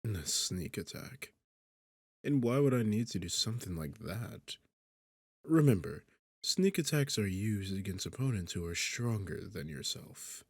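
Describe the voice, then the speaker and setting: A man's voice: lowish voice, expressive, a little slow, darkish tone, husky, no echo, clean studio sound, exaggerated voice. A man playing an arrogant, sarcastic demon king in a seductive fantasy ASMR roleplay, with a smug, teasing, condescending manner. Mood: mocking, coaxing. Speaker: audio roleplay performer.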